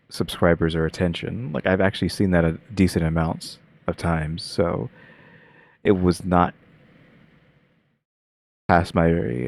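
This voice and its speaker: Low-voiced male reaction host; loose, rambling delivery full of fillers. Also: vocal fry, darkish tone, dry recording. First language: English. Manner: rambling, heavy use of filler "like". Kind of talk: casual reaction commentary.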